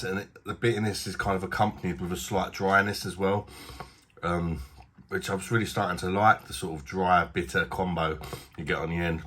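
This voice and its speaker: A British man with a low, slightly husky voice that still has a bright, forceful edge. He chats casually and rambles a little, poking fun at himself. He sounds a touch bunged up from a blocked nose. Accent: British English. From Britain. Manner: chatty, rambling, self-deprecating. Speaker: beer reviewer. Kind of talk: casual video review.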